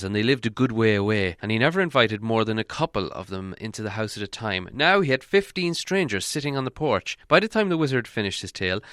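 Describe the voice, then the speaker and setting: A man narrates a storybook in a bright, crisp voice. His delivery is scripted audiobook reading, and the sound is very dry and close. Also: on the low side, dry recording. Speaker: audiobook reader.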